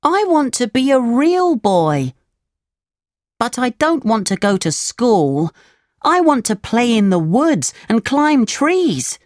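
A woman's voice: a little high, big pitch swings, takes it slowly, darkish tone, no room sound, studio quality, voice pushed up. A woman voicing a naughty, wilful boy puppet in a children's storybook, childlike, pleading and emphatic. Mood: indignant, wilful. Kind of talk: children's storybook character reading.

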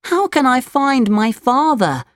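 A woman acts out a little wooden puppet boy from a children's storybook, pitched well up high. He is earnest and anxious, pleading with wide-eyed questions in lively swoops. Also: unhurried, dry recording. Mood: worried, sad.

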